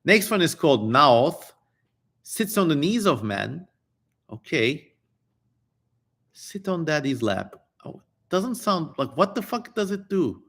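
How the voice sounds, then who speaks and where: A man with a slightly high voice reads aloud at a slow pace. He delivers strange names and incantations theatrically, then drops into casual, irreverent commentary with some swearing. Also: big pitch swings, fairly bright tone. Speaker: streamer reading an ancient text aloud.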